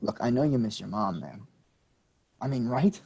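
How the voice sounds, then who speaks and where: A man playing a husky hot-headed young cousin. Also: medium pitch, expressive, moderate pace, mellow, dull audio. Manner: combative, swearing, pushy. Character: young man, quick-tempered city cousin. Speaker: actor in a staged reading.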